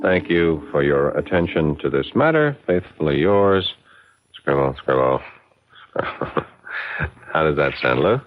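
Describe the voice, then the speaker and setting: An actor playing a smug, scheming, determined advertising executive in a 1970s radio drama. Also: male voice, very deep voice, lively intonation, measured pace, long pauses. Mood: smug, pleased. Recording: dull, dry 1970s radio recording.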